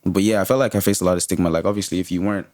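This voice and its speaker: Fast, low-voiced young man, lightly Irish. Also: fairly even intonation, a little mellow, dry recording. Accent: lightly Irish, American-tinged. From Ireland. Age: young adult, about 20. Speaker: guest, rapper. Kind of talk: casual chat.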